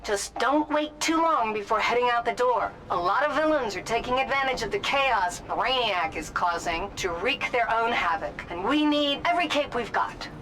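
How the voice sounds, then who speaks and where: An actress playing a brisk, encouraging guide who gives direct mission instructions in a video game. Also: female voice, on the high side, expressive, a bit bright, a little hiss or hum, poor audio. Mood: encouraging, urgent. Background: music.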